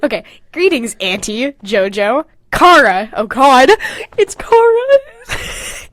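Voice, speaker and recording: Animated, high-voiced young-sounding woman reading aloud. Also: slow. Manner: self-mocking, candid, giggly, swears freely. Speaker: podcast host. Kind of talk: reading own fanfiction aloud with mocking casual asides.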